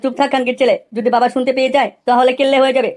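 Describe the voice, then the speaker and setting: A woman's voice: high-pitched, level tone, racing, background noise, natural voice. A woman voicing cheeky, brash young village lads in an amateur comedy cartoon, with slangy, crude and insistent talk.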